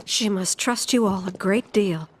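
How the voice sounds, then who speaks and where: An actress playing a weary, protective middle-aged mother in a video game, measured and plain-spoken. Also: medium pitch, slight background noise, natural voice. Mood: resigned, sad.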